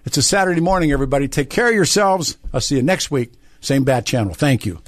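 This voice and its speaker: A man's voice: mid-range voice, expressive, fast, dry recording, studio quality. A man hosting a radio call-in show, talking casually and repeating phrases for emphasis. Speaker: radio talk show host.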